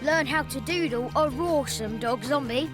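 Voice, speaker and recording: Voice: high voice, normal speed, natural voice. An actor voicing a cheerful, chatty schoolboy narrator in a children's cartoon. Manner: chatty, boyish. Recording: music behind the voice.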